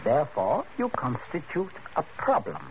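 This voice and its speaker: A man's voice: fairly high pitch, huge pitch swings, normal speed. An actor playing a cold, commanding father in a 1940s radio suspense play, speaking formally with controlled menace. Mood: cool, matter-of-fact. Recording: muffled, noisy old radio recording.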